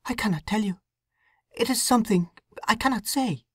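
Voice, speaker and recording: A woman's voice: on the high side, normal speed, slightly rough, dry recording, studio quality, pitched above the performer's own voice. A woman voicing a young, distraught woman torn by conscience, pleading and breathless. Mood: resolute.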